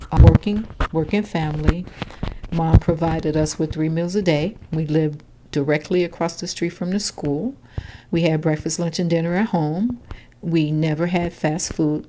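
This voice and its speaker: An older woman with a low voice talks steadily, matter-of-fact about her past. She is being interviewed at home by her granddaughter. A faint hiss sits under the recording. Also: poor audio. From Chicago, Illinois. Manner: calm, matter-of-fact storytelling. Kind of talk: oral history interview.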